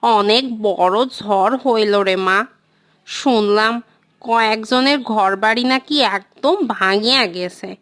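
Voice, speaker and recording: A woman voicing a gentle, worried village mother in a cartoon, with fretful speech. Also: medium pitch, takes it slowly.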